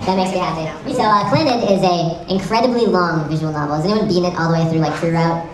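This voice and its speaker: Woman, rapid-fire casual presenter, enthusiastic, self-deprecating, echoey room, audience noise. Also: lowish voice, far from the mic. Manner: enthusiastic, self-deprecating, jokey geek talk. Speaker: convention panel presenter and site creator. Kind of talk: casual, chatty panel presentation. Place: convention panel room with audience. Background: audience crowd.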